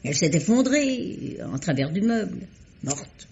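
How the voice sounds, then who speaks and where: A woman's voice: fairly low pitch, a little slow. An actress playing a shrewd, wry older woman who unravels a murder, in a theatrical old radio drama style. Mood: dramatic. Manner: crisp, theatrical, lively explanations. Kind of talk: 1950s French radio drama acting. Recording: muffled old radio recording.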